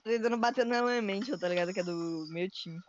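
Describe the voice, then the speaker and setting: A young gamer with a fairly high voice chats over a game, excitable and teasing, breaking into sing-song bits. The sound is dull and lo-fi, like a cheap headset. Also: male voice, fast talker. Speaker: channel host. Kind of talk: casual gameplay chat. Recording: dull lo-fi voice chat.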